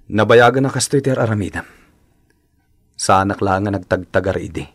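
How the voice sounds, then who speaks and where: A man's voice: lowish voice, normal speed, no room sound, clean studio sound. A man playing an aggrieved, resolute husband in a radio drama, firm and reproachful.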